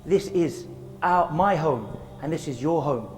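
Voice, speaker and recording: A man's voice: fairly high pitch, animated, measured pace. A man preaching an earnest outdoor sermon in a graveyard, asking rhetorical questions and reciting verses. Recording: long reverb with faint music.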